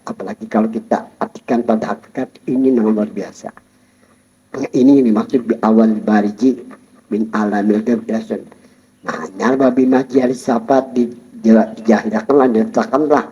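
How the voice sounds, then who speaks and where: A man giving a Sufi study-circle lecture, explaining calmly and chuckling while he addresses his listeners. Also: medium pitch. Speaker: religious teacher. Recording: dull lo-fi recording.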